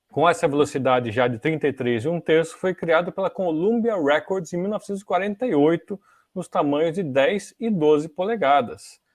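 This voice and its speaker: Brazilian man explaining in a higher-pitched voice. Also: darkish tone, no echo, clean studio sound. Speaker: podcast host. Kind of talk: casual explanatory talk on music technology history.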